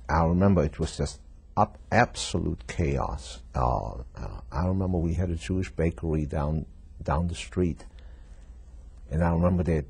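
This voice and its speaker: An older man from Germany giving oral history testimony as a Holocaust survivor, telling his story in a halting, reflective way with frequent pauses. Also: rumbling low, vocal fry, a little mellow, husky. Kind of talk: recorded oral history interview.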